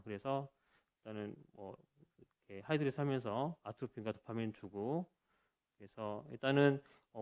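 A man's voice: mid-range voice, a little mellow. A man, a cardiologist, giving a case presentation at a conference podium microphone, talking hesitantly with many fillers and restarts. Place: conference hall stage.

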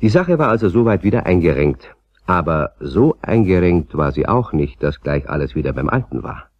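Deep-voiced male children's storyteller. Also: very animated, normal speed. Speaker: narrator. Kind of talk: scripted storytelling narration for children. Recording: slightly dull, roomy old cassette recording.

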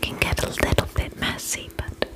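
A woman whispering in an ASMR role-play as a spa attendant, gentle, soothing and reassuring, speaking in short phrases with pauses. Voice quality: unvoiced whisper.

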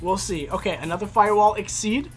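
A woman's voice: medium pitch, fast talker. A woman co-hosting a casual card-opening video, chatty and teasing, laughing often. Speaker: co-host. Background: music.

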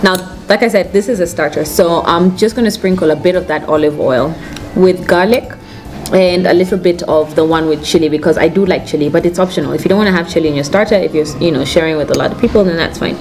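Low-pitched young Kenyan woman, chatty. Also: fast talker, darkish tone. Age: young adult. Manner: chatty, informal. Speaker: food vlog host. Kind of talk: casual restaurant review chat to camera. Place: indoors at a restaurant table. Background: music.

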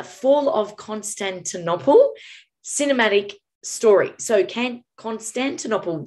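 A woman in her thirties with an Australian accent, chatting casually as a reaction video host while losing her voice, from a small room at a webcam. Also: mid-range voice. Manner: chatty, exclaims in surprise.

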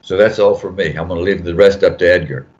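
Older male editor, deep voice, rambling and self-correcting, muffled video-call audio. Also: fairly even intonation, fast, a little mellow. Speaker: journal editor on a panel. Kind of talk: casual panel talk. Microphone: video call mic.

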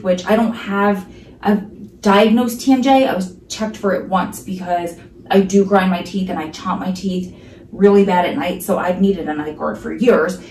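A woman's voice: lowish voice, darkish tone, echoey room, recorded from a distance, slight background noise, rough recording. A woman in her thirties with a New Jersey accent, talking casually and emphatically to a camera in her living room. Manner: chatty, emphatic, many asides. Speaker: vlogger. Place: living room at home, sunlit window. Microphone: camera across the room.

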